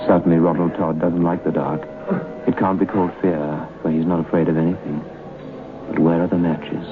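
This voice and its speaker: An actor playing an ominous storyteller, narrating an old-time radio horror tale in deliberate short phrases. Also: male voice, sits low, level tone. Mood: grave, suspenseful. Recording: muffled, noisy old radio broadcast.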